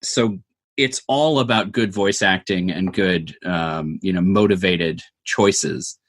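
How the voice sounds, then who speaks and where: A man's voice: deep voice, takes it slowly. A man giving thoughtful, conversational interview answers, explaining things step by step. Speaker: interview guest, audio drama producer.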